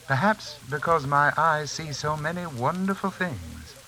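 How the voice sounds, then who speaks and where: An actor playing a pompous, silkily menacing uncle and occult hypnotist in a 1940s radio suspense drama. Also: male voice, a little high, a little slow, bright, forceful tone. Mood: silkily coaxing. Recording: hissy, crackling 1940s radio recording.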